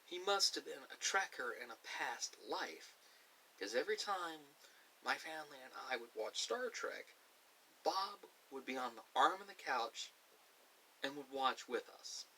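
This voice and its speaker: A middle-aged man telling a personal story in a reflective way, halting often between short phrases. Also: mid-range voice, animated, sharp tone, poor audio. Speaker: ASMR video creator. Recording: clear background hiss.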